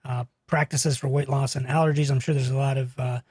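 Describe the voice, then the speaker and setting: A young man with a nasal, raspy voice, hosting a casual interview as a doctor. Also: medium pitch, flat delivery, fairly quick, darkish tone, dry recording, clean studio sound. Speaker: podcast host, doctor.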